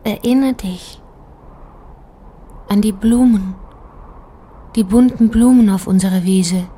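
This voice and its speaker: An actress playing a mysterious beckoning dream figure in a radio play, with a breathy, hushed, incantatory delivery. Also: female voice, mid-range voice, takes it slowly. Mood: beckoning, hypnotic. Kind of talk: poetic radio play monologue. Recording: studio radio drama with music underneath. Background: music.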